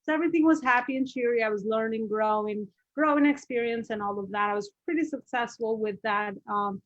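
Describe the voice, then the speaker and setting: A woman's voice: a little high, a little fast, dry recording, lo-fi sound. A middle-aged woman with an Albanian accent, a business coach telling her story as a podcast guest, chatty with many fillers and restarts.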